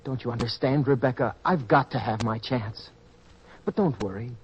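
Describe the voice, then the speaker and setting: An actor playing a naive, eager young traveler in old-time radio style. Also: male voice, mid-range voice, normal speed, slight background noise, natural voice. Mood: tender, reassuring. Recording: muffled radio-play audio, music and crowd behind.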